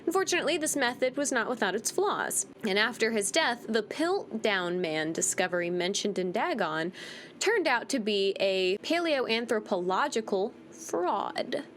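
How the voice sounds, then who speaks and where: A woman's voice: a little high, big pitch swings, normal speed, a bit bright, a little hiss or hum. A woman giving casual, irreverent commentary as she hosts a game playthrough, with a teasing, wry manner. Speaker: gaming video host.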